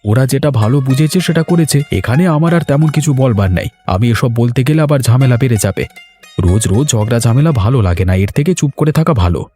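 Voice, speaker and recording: A man's voice: mid-range voice, expressive, fast talker, mellow, faint music behind, lightly acted. A man voicing an easygoing, dutiful young villager in a cartoon story. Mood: calm, resigned. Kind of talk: Bengali moral-story cartoon voice acting.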